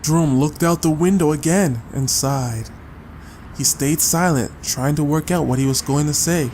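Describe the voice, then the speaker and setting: A man's voice: fairly high pitch, moderate pace, a little mellow, slight background noise. A man reading a scripted short story as a dramatic audiobook narrator. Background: music.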